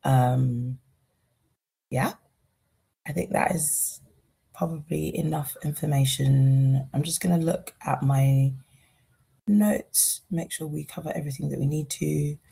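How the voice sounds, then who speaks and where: Raspy gravelly woman running a video call, dark mellow tone, calm and organized. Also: low voice, slow, long pauses. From Zambia. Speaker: fund lead and facilitator. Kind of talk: online info session introduction.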